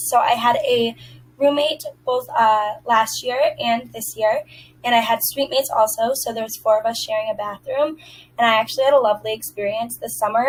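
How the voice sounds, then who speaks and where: Young female job applicant on an interview call: fairly high voice, courteous, conversational, casually worded answers. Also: a little hiss or hum, low-quality recording. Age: young adult.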